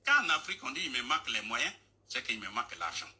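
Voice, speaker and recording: Bright, lively man lecturing insistently. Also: mid-range voice, quick pace. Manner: insistent, lecturing, repeats points. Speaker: guest speaker on a radio discussion. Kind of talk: explanatory radio discussion.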